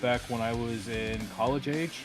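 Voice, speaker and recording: A man's voice: mid-range voice, fairly even intonation, normal speed. A man in casual chat as a guest on a stream. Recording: music playing behind the voice. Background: plucked guitar music.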